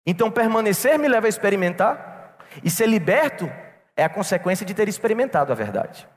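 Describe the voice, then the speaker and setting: High-voiced preacher in a reverberant church. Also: male voice, very animated, brisk. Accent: non-local Brazilian. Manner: storytelling, addressing congregation as brothers. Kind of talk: sermon. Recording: long reverb in a church hall.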